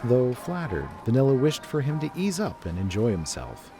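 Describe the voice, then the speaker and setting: A man's voice: medium pitch, wildly swooping pitch, normal speed, dark tone. A man reading scripted story narration as a narrator, with music behind him.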